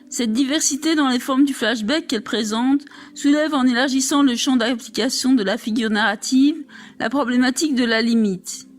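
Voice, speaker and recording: A woman reading a scholarly text aloud in a formal, measured way. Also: sits high. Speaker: reader of an academic text.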